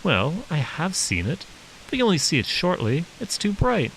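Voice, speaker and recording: Man doing a high-pitched curious little boy. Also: huge pitch swings, normal speed. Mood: earnest. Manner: childlike, folksy, questioning. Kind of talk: audiobook character dialogue. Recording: hissy background noise.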